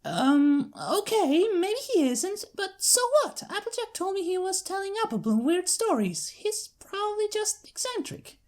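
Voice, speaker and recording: High, bubbly, curious cartoon pony girl acted by a woman. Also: very animated, a little slow, hardly any pauses, darkish tone, exaggerated voice. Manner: bubbly, inquisitive. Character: chatty young female cartoon ponies, a curious couple.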